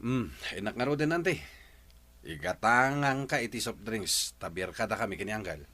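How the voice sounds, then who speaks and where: Lively flirtatious Ilocano bachelor. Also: male voice, fairly low pitch, normal speed. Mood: playful. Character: flirtatious village bachelor, playful smooth-talking suitor. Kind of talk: Ilocano comedy radio drama acting.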